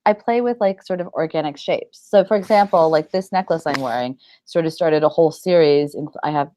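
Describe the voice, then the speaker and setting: A woman's voice: deep voice, a little fast, dark tone, a little hiss or hum. A middle-aged woman chatting casually in an interview, rambling informally with lots of "like" and "you know". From United States.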